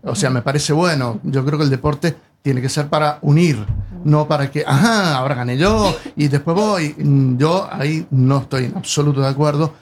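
A man's voice: on the high side, normal speed, a little hiss or hum. A man in his sixties with a Rioplatense Spanish accent, chatting casually and hesitantly with many fillers. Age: 60s. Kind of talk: casual chat.

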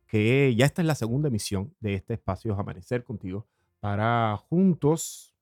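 A man from Cuba, hosting a morning radio program in a slow, lingering monologue with hesitant fillers. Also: on the low side, lively intonation, darkish tone, dry recording, clean studio sound. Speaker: radio host.

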